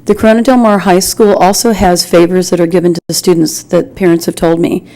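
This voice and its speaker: Community member at a public meeting: American woman, lowish mellow voice, earnest, halting, insistent. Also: level tone, low-quality recording. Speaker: community member speaking in public comment at a school board meeting. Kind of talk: earnest, informal public address.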